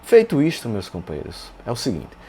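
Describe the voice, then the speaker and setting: A man's voice: mid-range voice, wildly swooping pitch, fairly quick, warm tone, slight background noise. A man with a Brazilian Portuguese accent giving an informal technical tutorial in a didactic way, often asking rhetorical questions and checking in with the listener. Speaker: radio repair instructor and channel host. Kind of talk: informal technical tutorial, explaining while demonstrating.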